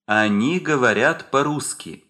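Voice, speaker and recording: Male drill reader: bright, clear, deliberate, expressive. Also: mid-range voice. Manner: slow, deliberate, clearly articulated. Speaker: course speaker. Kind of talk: clear language-drill reading.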